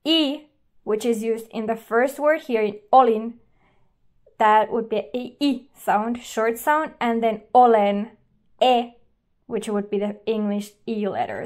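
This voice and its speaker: A woman's voice: fairly high pitch, a little mellow. A woman with a Finnish accent, a native Finnish speaker and teacher, reviewing a language app and explaining as she goes. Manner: explains and comments while trying the app. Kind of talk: app review, talking to camera.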